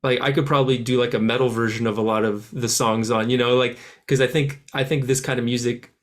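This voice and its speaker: A man's voice: medium pitch, steady tone, quick pace. Male musician guest from France, raised in Canada; casual, rambling, full of restarts. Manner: rambling, many restarts and "like" fillers. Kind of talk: casual interview answers.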